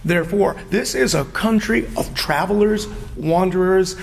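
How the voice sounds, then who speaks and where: Guy with a high creaky voice, emphatic and jokey panel guest, bit of background noise. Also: normal speed. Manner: emphatic, declarative, joking. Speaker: interview guest. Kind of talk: animated panel conversation.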